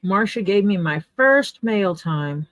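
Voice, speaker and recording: A woman's voice: medium pitch, expressive, slow pace, fairly bright tone, no room sound. Chatty woman crafting on a dry mic. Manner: chatty, explains tools step by step while working. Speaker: leatherworker livestreaming her work. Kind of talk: casual livestream chat while crafting. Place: home workspace.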